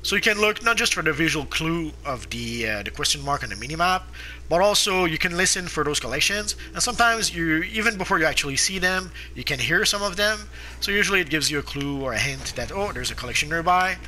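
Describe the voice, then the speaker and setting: Casting: man, sharp bright tone, sniffly sore throat, halting game-guide chatter with lots of "uh". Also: on the high side, animated, slight background noise, poor audio. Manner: halting, frequent "uh" fillers and restarts. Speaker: gameplay guide narrator. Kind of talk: casual game commentary.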